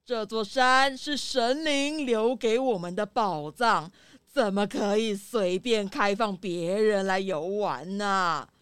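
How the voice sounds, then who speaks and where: A woman's voice: medium pitch, takes it slowly, fairly bright tone, clean tone, no echo, clean studio sound. A woman voicing a frail, worried old grandfather who sighs and admonishes in a children's audio drama. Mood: reproachful, worried. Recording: music behind the voice.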